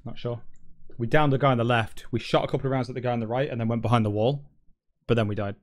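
A man's voice: mid-range voice, fairly even intonation, fast talker, no echo, studio quality. A man in his thirties with an English accent, a streamer telling self-mocking stories in casual chat through a headset mic in a small room. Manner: chatty, self-mocking storytelling with swearing.

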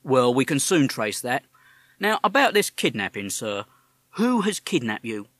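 A man's voice: mid-range voice, very animated, a little slow, a bit bright, no echo, voice pushed up. A man voicing a British police officer who is formal, pompous and sarcastic.

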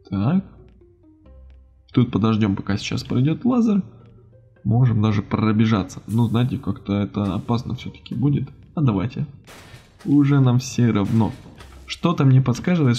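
Gravelly, mellow man commenting on a game. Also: medium pitch. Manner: animated reactions, gasps and mutters to himself. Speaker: gaming channel host. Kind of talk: casual video game let's-play commentary.

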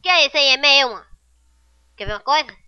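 Very high, piercing, excitable Brazilian dubbing voice. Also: quick pace, highs cut off. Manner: excitable, slangy, insistent delivery. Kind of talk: amateur comic redub of a TV sitcom.

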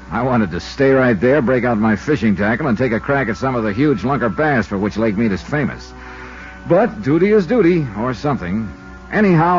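Wisecracking 1950s radio investigator played by a man, American, clipped breezy narration, very lively intonation, dull vintage sound. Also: mid-range voice, lightly acted. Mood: rueful narration. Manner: breezy, wry, clipped storytelling delivery. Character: wisecracking freelance insurance investigator, fond of fishing. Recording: band-limited 1950s radio recording with music.

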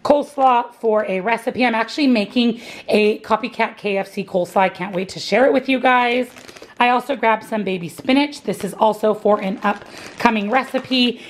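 A woman's voice: a little high, normal speed. A woman vlogger, married and working, cheerfully walking through her grocery haul and weekly meal plan, gushing and repeating words for emphasis. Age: adult, married, working. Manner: upbeat, gushing, repeats "love, love, love".

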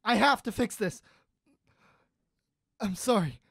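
A young adult actor playing an earnest, heartbroken character with halting speech in an audio drama. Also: mid-range voice, lively intonation, creaky, a bit bright, dry recording, studio quality, heavily acted. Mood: anguished. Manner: hesitant, earnest, halting confession. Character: heartbroken, lovesick young adult secretly turning into a beast.

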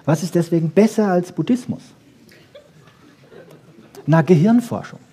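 A man giving a popular science lecture with wry humour, rhetorical questions and short checking tags, in a husky voice. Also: sits high, expressive, measured pace, mellow. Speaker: lecturer on brain research.

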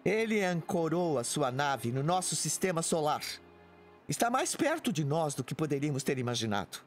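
An actor playing a grave, authoritative space squadron commander in an audio drama. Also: male voice, fairly high pitch, sing-song, moderate pace, faint music behind. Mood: grave, commanding.

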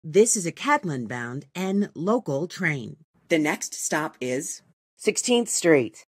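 A woman announces trains and stops in a formal, scripted style. Her voice is on the low side and slightly husky, with lively intonation and unhurried, segmented phrasing. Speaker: subway station announcer.

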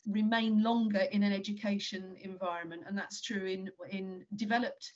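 A woman in her sixties giving a deliberate, articulate panel presentation in formal wording over a video call. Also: mid-range voice, fairly even intonation. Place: indoor room at home, video call. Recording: dull video-call audio.

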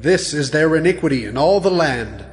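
Man as solemn angel in deep reverb. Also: lively intonation, moderate pace, lightly acted. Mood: solemn, declaring. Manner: solemn, declaiming. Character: solemn angel messenger of biblical visions. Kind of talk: dramatized audio Bible. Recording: long reverb, music behind.

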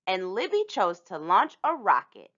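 A woman reading a children's picture book aloud in an expressive storytelling manner. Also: mid-range voice, a little slow, lo-fi sound. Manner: animated, storytelling delivery for young children.

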